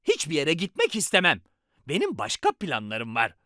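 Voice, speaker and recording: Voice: mid-range voice, wildly swooping pitch, normal speed, bright, forceful tone, no room sound, clean studio sound. An actor voicing a scheming, gloating, easily exasperated villain in a children's cartoon, theatrical and ranting, with music behind.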